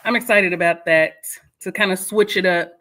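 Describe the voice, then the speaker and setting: A woman's voice: fairly low pitch, moderate pace, pushed and bright. A woman co-hosting a morning show, chatting casually and laughing often. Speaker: radio show co-host and podcaster.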